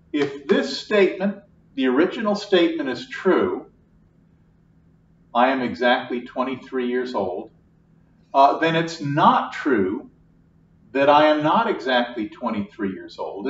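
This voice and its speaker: A man's voice: medium pitch, animated, slight background noise. An older man, a math lecturer, explaining step by step at a whiteboard in a patient, repetitive classroom manner, recorded from across the room. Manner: slow, patient, repeats each point. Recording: echoey classroom, distant, dull.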